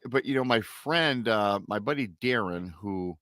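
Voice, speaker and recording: A man's voice: on the low side, lively intonation, normal speed, a bit bright, no echo, studio quality. A middle-aged man with a Michigan American accent, hosting a casual talk show in a rambling, repetitive way. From Michigan, USA. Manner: rambling, repeats himself. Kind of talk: casual chat.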